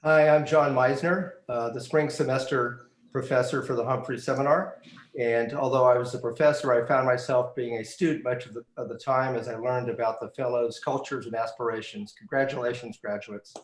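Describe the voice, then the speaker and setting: A man who is a professor, giving brief, measured and sincere congratulations to graduates. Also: medium pitch, steady tone, echoey room, rough recording.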